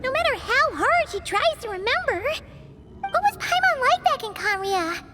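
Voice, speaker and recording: A woman voicing a small, excitable, naive childlike companion creature in a video game cutscene, with a squeaky voice. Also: moderate pace. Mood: curious, eager. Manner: refers to self in third person, exclamatory. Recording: background music throughout.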